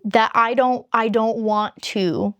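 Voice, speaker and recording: A woman YouTuber with a high-pitched voice, chatting candidly to her viewers and rambling through long explanations. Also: steady tone, slow pace, a bit bright, no room sound, highs cut off. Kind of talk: casual chat to camera.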